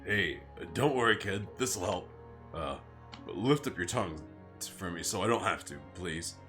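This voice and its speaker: A man doing a big gentle wolverine caretaker. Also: deep voice, lively intonation, normal speed, soft background music. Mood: soothing.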